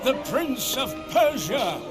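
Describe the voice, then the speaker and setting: A man's voice: very high voice, very animated, takes it slowly, full character voice. An actor playing a townsman solemnly proclaiming to the people in a video game cutscene. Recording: loud crowd and music behind.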